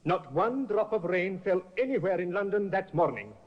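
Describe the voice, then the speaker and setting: A polished defense barrister who questions with measured, courtly precision. His voice sits a little high for a man. It comes through a dull, lo-fi old radio broadcast. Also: animated. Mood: cross-examining. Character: shrewd, polished male defense barrister, middle-aged. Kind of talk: 1950s radio drama acting.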